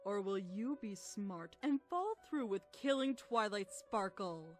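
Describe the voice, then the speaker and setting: Slow, sinister pony princess voiced by a woman. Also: mid-range voice, animated, soft background music, close to an everyday voice. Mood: gloating menace. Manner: slow, menacing, coaxing. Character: sinister princess alicorn, falsely sweet murderous mentor turned tyrant. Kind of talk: fan fiction audio drama.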